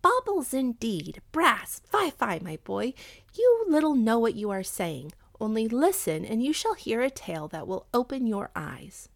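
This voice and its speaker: A woman voicing an excitable, proud widowed mother in a storybook, animated, exclamatory and scolding. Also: on the high side, measured pace, creaky, dry recording, voice pushed up, exaggerated voice. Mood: indignant.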